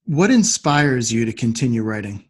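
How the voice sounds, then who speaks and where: A man's voice: medium pitch, very animated, moderate pace, husky. A man hosting a podcast interview, asking questions and giving short responses.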